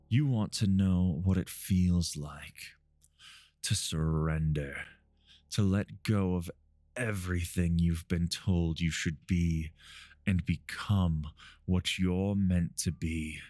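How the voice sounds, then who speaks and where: A possessive vampire lord, acted by a man, speaks in a low, dark voice over music. He is seductive and commanding in gothic ASMR style, drawing out every phrase very slowly and close to the mic. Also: no echo, heavily acted. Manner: slow, hushed, deliberate, commanding.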